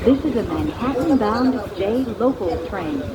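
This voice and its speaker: A woman giving measured, pre-recorded subway announcements over a train's speakers. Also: animated, over a noisy crowd, low-quality recording. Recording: train announcements with loud ride noise. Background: train rumble and ambience.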